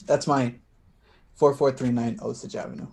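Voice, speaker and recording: A man's voice: mid-range voice, little pitch movement, creaky, darkish tone, slight background noise, close to an everyday voice. A man acting in a play reading as an anxious, tender, slightly tipsy man who stammers and hesitates. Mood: wistful. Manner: halting, stammering.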